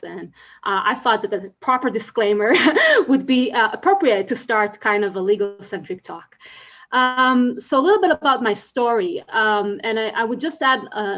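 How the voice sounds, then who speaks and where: A woman's voice: mid-range voice, fairly bright tone, muffled recording. A woman from Israel with an Israeli accent, giving an earnest conference presentation full of fillers and restarts. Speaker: conference speaker.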